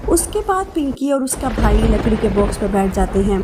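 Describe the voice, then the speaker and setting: A woman's voice: fairly high pitch, sing-song, moderate pace, heavy background noise. A woman giving scripted narration of a children's moral story.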